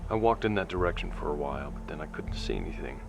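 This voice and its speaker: Very deep-voiced, somber investigator-narrator acted by a man. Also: sing-song, quick pace, creaky, muffled recording, over music, exaggerated voice. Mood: somber, mystified. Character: somber male investigator recounting an eerie case. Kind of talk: scripted first-person narration, sci-fi audio drama.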